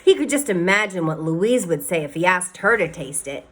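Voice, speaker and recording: A woman teacher reading a children's novel aloud, expressive, sometimes speaking to her listeners. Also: fairly low pitch, moderate pace.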